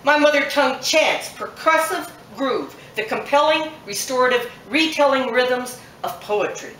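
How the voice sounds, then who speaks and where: A woman's voice: high-pitched, measured pace, fairly bright tone, a little hiss or hum. A woman poet performing her poem live at a bookshop event, with a rhythmic, emphatic delivery that builds through long lists. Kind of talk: live performance poetry reading. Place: bookshop at a poetry festival event. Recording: echoey room, mic set far off.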